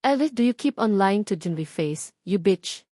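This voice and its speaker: A woman's voice: mid-range voice, expressive, normal speed, warm tone, no echo, clean studio sound, lightly acted. A woman voicing a cruel, angry, betrayed man in an audiobook, harsh and accusing, with a Filipino accent. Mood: menacing, bitter. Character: furious, cruel, betrayed man, domineering former groom.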